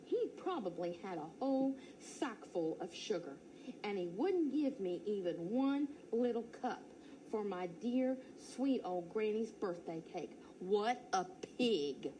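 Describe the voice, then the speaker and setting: A teacher reading a children's storybook aloud in first person, playful and expressive. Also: female voice, mid-range voice, slight background noise, poor audio.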